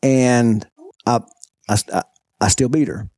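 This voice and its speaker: A man with a mellow, warm voice, chatting casually and rambling through a story. He laughs often and restarts his sentences. The sound is dry and close. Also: medium pitch, slow, dry recording. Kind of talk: casual chat.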